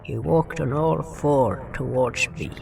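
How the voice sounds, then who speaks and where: A male narrator tells a scripted fantasy story in a solemn way. He speaks very slowly with a gravelly creak, a little distant from the microphone. Music runs clearly behind him. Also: mid-range voice, a little mellow. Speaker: narrator and writer.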